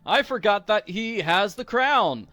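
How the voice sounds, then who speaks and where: A man's voice: sits high, animated, measured pace, pushed and bright. A man giving casual, playful walkthrough commentary on a video game, explaining each step as host. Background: music.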